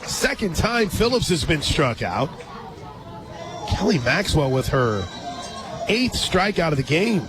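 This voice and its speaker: Male American radio sports announcer, fairly high voice, conversational play-by-play, loud ballpark music underneath. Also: big pitch swings, slow pace, long pauses. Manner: conversational, chatty between plays. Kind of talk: live softball radio commentary. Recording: loud crowd and music behind outdoor broadcast. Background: ballpark crowd and loud music.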